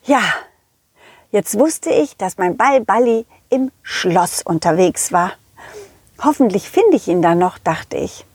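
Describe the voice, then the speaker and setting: Woman as a husky, playful storybook dog. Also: medium pitch, expressive, slow, a little mellow, no echo. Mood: confiding. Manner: playful, addresses the children directly. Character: confident, loyal, helpful male dog telling his adventure. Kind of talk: children's audio play narration.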